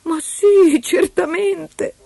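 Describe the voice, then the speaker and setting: A woman's voice: medium pitch, lively intonation, a little slow, pitched above the performer's own voice, lightly acted. A woman voicing an anxious young woman in a fairy tale, plaintive and pleading. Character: anxious young woman, pleading miller's daughter turned queen. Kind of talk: fairy-tale storybook character voice.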